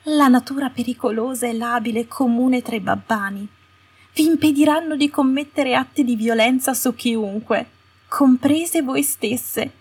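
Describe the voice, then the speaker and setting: A woman's voice: sits high, a little slow, breathy edge, voice pushed up, exaggerated voice. A woman voicing a sugary, cruel female official in an audiobook, coaxing and condescending, with falsely sweet delivery. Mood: smugly sweet. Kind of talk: audiobook character voice. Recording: roomy echo, distant from the mic.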